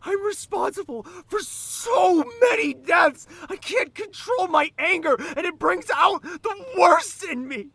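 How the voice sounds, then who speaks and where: An actor playing a guilt-ridden, self-loathing young man in an emotional audio drama, swinging between shouting and hushed speech. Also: very high-pitched, animated, unhurried, vocal fry, hardly any pauses, full character voice. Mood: anguished rage.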